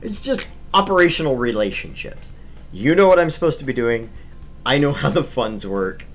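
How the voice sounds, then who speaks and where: A man's voice: mid-range voice, sing-song, normal speed, soft background music. A man streaming a visual novel read-aloud, switching between playful narration and casual chat.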